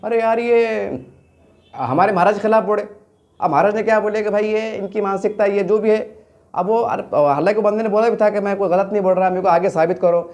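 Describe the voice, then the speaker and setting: A man's voice: high voice, brisk, darkish tone. A man with a Deccani Urdu-Hindi accent from Maharashtra gives impassioned, off-the-cuff political commentary, leaning on rhetorical questions and emphatic repetition. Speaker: local news journalist and commentator.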